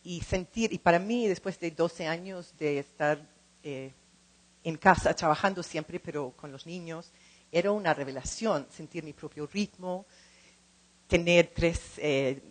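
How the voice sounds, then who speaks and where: A middle-aged woman speaking in a second language as she gives an informal talk, halting and repeating words as she searches for the next one. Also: lowish voice, poor audio. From lives in California. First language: not Spanish, speaks it as a second language. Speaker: translator and program co-director.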